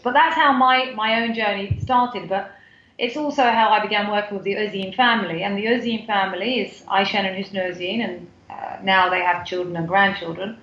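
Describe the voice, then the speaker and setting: A woman's voice: medium pitch, fairly bright tone, reverberant, dull audio. A woman from the UK with a British accent, a guest on a podcast interview, talking in a chatty way with many fillers and restarts. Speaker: guest, foundation CEO.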